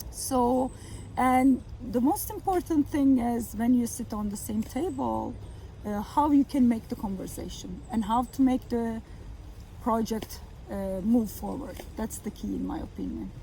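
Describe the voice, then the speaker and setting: A middle-aged woman from Turkey speaks with a mellow, slightly high voice. She talks haltingly, filling gaps with "uh" and "like". Recorded outdoors in a garden with faint background hiss. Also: creaky, rough recording. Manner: hesitant, many fillers. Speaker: architecture professor. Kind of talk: outdoor conversation.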